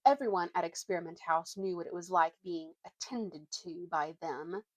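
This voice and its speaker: A woman's voice: fairly low pitch, normal speed, no room sound. A woman reading a storybook aloud informally, often stumbling and correcting herself as she narrates. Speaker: reader.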